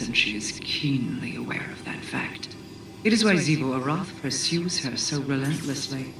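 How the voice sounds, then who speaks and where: A woman playing an imperious, wary queen who leads a coven, speaking formally and gravely in a video game cutscene. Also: deep voice. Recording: echoing, distant voice under music. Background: music.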